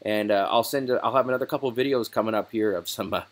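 A man hosting a software tutorial, explaining each step in a casual, relaxed way over a screen recording. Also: lowish voice, fast.

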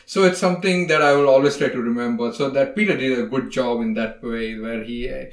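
Earnest man from India, chatty podcast guest, mid-pitched voice, roomy echo. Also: a little fast. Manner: earnest, rambling with many fillers. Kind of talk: casual conversation. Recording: echoey room.

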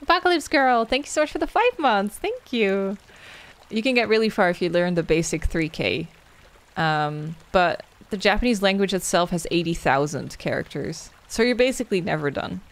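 A woman's voice: medium pitch, animated, normal speed. A young adult woman, a game streamer wrapping up with casual, rambling chat as she thanks viewers by name and answers their questions. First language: Dutch.

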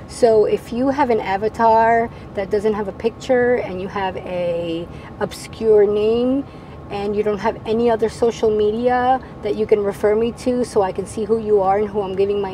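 A middle-aged woman from Venezuela, a native Spanish speaker, answering viewer questions in a casual, measured vlog style. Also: medium pitch, low-quality recording. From Venezuela, moved to the United States at ten. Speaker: vlogger, channel host. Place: parked camper van cab. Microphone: lapel mic. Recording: steady background noise inside a vehicle.

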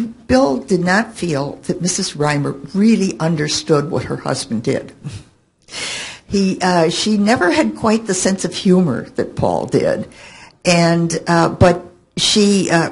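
An older woman recalling things informally on a panel, halting as she searches for her words. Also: fairly low pitch, creaky, breathy edge. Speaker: panelist, fan and researcher of an old radio show. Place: indoor hotel conference room. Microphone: table microphone on stand. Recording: noisy hotel meeting room.

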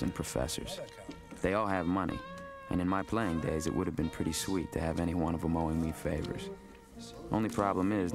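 Low gravelly young card-shark law student, wry movie voice-over, music underneath. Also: male voice. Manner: knowing, understated, street-wise slang. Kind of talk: scripted voice-over narration.

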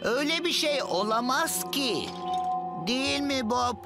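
A man's voice: very high voice, lively intonation, unhurried, gravelly, loud music behind the voice, full character voice. A man voicing a timid, hesitant talking crane vehicle in a children's cartoon, sounding anxious.

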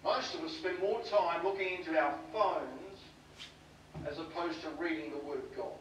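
Earnest preacher in an echoing hall. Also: male voice, on the high side, normal speed. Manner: earnest, rhetorical questioning. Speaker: pastor. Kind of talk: sermon. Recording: distant echoey sermon audio with faint noise.

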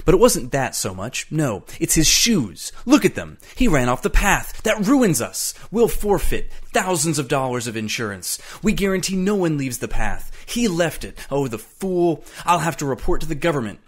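Hard-bitten male safari guide for an audiobook story narrator acts it out: furious, threatening, forceful, with widely swinging pitch. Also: very animated, moderate pace, natural voice. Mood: furious, exasperated. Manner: forceful, accusing, barking orders. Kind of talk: dramatised story reading.

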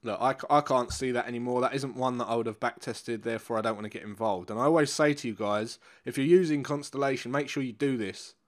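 A man's voice: mid-range voice, fast, hardly any pauses. A middle-aged man with a nasal, congested voice, walking viewers through trading charts in a casual, instructive way and repeating key points. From England. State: congested, blocked nose. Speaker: currency trading coach. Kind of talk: live trading room chart walkthrough.

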